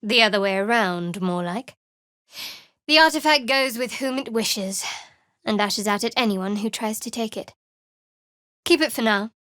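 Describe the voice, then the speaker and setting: An actress playing a guarded, sardonic young cleric of a dark goddess in a fantasy game, with a dry, wry delivery. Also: female voice, a little high, measured pace, pauses often, dry recording.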